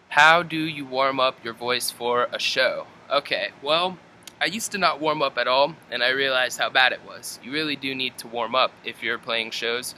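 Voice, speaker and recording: Very bright-voiced young man, casual and slangy. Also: medium pitch, a little hiss or hum, low-quality recording. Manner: laid-back slangy talk with swearing. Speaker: metal band vocalist answering fan questions. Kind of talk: casual vlog chat. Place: indoors at home.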